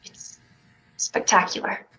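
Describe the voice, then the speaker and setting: A woman's voice: slow, sharp tone, slight background noise, low-quality recording. A woman playing a stubborn, dreamy child who plays astronaut, in a staged play reading. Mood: dreamy, musing.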